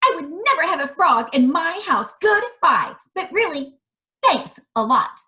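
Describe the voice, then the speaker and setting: A woman voicing a spoiled young princess who whines and bosses in a high voice. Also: sing-song, unhurried, sharp tone, full character voice. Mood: whiny. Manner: high, whiny, bossy.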